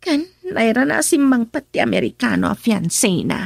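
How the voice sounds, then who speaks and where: A defiant, tipsy married daughter in a radio drama. Her Ilocano-accented voice is fairly high and creaky, with animated swings. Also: moderate pace, dry recording, studio quality, heavily acted. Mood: tipsy, defensive.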